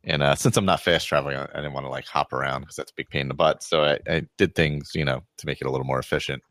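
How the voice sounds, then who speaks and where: A man's voice: very deep voice, fairly even intonation, very fast, a bit bright, no echo, clean studio sound. A man chatting casually as a podcast panelist, explaining things in a rambling, offhand way. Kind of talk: casual chat.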